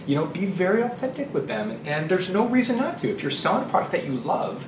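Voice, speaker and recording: A man's voice: sits high, big pitch swings, fast. A man giving conversational sales advice as a trainer and host, blunt and emphatic, repeating phrases for stress. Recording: echoey room, distant mic, noisy.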